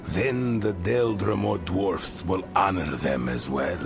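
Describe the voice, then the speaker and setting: Hospitable dwarf monarch, very low gravelly voice, slow, emphatic, game cutscene. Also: male voice, exaggerated voice. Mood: stately, grateful. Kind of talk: video game cutscene acting. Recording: loud music behind. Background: loud music.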